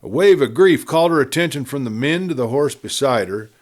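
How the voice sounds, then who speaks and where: A man narrates a western novel in an expressive, dramatic storytelling style. His mid-pitched voice moves widely up and down as he tells the tale. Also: fairly quick. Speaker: audiobook narrator.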